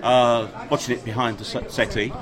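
A man of middle pitch answers a few questions as a fan, enthusiastic and stumbling now and then. A crowd chatters clearly behind him. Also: level tone. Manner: enthusiastic, a little halting. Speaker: interviewed fan. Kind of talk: casual vox pop chat. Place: busy shopping venue.

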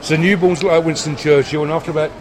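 A man's voice: fairly high pitch, fairly even intonation, fast talker, very noisy. A man interviewing fans, joking and teasing them. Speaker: podcast interviewer. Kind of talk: casual interview.

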